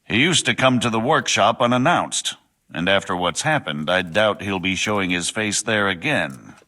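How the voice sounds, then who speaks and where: A disgraced nobleman turned forger in a video game drama. His lowish voice has a forceful edge and moves around in lively swings. He is wry and evasive, with a slightly haughty, worldly manner. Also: moderate pace. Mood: evasive, dismissive.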